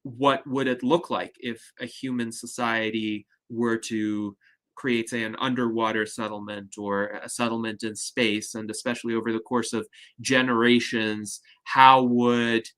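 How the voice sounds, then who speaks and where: A man hosting an online discussion over a video call, explaining ideas in a measured, articulate way. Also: medium pitch, little pitch movement, fairly bright tone, smooth. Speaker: host.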